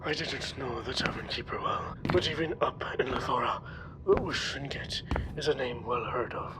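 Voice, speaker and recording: A man playing a proper, irritable young academy man in a narrative podcast drama, with formal, measured phrasing. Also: mid-range voice, heavy background noise, lightly acted. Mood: hushed, pondering. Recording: background music under voice.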